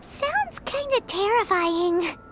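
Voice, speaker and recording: A little childlike fairy companion in a video game. Her squeaky voice is extremely high and bounces around expressively at an unhurried pace. She sounds cute and exclamatory. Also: sing-song, noisy background, exaggerated voice. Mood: wary. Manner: speaks of herself in third person, exclamatory. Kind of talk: video game dialogue acting.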